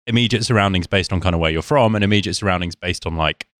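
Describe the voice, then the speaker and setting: A man's voice: sits low, quick pace, fairly bright tone, dry recording, studio quality. A man with an English received pronunciation accent, chatting in a wry, joking way full of ums. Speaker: podcast co-host. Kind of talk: casual chat.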